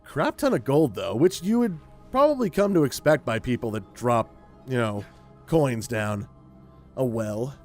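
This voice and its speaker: Male gaming host, mid-pitched, chatty, rambling, casual play-along commentary, faint music behind. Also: sing-song, measured pace, darkish tone. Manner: chatty, rambling, thinking aloud.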